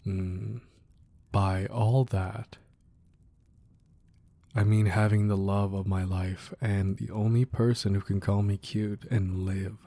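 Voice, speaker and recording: A low, mellow-voiced crime boss acted by a man, husky and intimate. He is a protective, devoted husband murmuring softly to his wife in a romantic ASMR roleplay. The tone is gruff at the edges but affectionate. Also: little pitch movement, slow, pauses often, dry recording. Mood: playful, tender.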